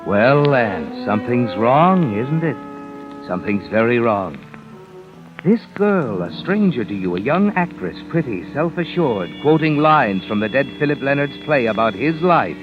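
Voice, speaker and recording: An actor playing a sinister, knowing narrator in an old radio mystery, taunting and insinuating in the second person. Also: male voice, medium pitch, big pitch swings, unhurried. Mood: ominous. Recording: muffled vintage radio broadcast over music.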